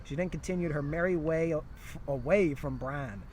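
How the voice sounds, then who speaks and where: Outdoor ambience with faint vehicle noise sits behind a man with a slightly high voice. He reads a comic fantasy story aloud and drops into casual chat between passages. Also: moderate pace, a little mellow. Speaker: co-reader in a story read-along. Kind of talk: humorous story reading with casual asides. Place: outdoors near a historic town site.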